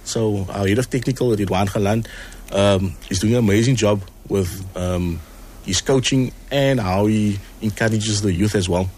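A man, speaking earnestly in an interview as a choir executive, with many pauses and repeated words. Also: on the low side, a little mellow, breathy edge. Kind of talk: casual interview chat.

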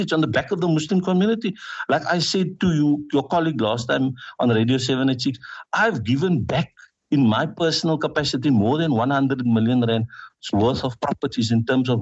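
A South African city councillor in a radio interview, making an impassioned, emphatic appeal for support. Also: male voice, medium pitch, husky, highs cut off. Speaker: city councillor and party leader.

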